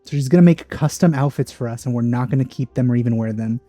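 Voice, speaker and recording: Female let's player: very low, very mellow voice, dry sardonic asides, game music behind. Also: quick pace. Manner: deadpan, sardonic. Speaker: let's play commentator. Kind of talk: casual game commentary.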